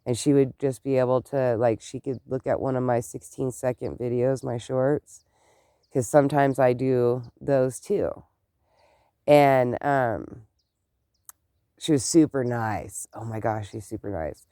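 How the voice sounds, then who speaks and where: A stuffed-up vlogger talking slowly and loosely, wandering from one thought to the next. The voice is mellow and smooth, with a congested, nasal edge from a head cold. The sound is dry and close. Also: mid-range voice, no room sound. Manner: chatty, meandering, exclaims often. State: congested, stuffed-up nose and clogged ears. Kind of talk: casual rambling chat to camera.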